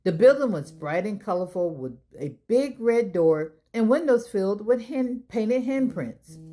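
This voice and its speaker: A woman hosting a children's storytime, reading aloud in an expressive way as if talking straight to young listeners. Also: medium pitch, unhurried. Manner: expressive, addressing children directly. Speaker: storytime reader and host. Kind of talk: children's read-aloud narration.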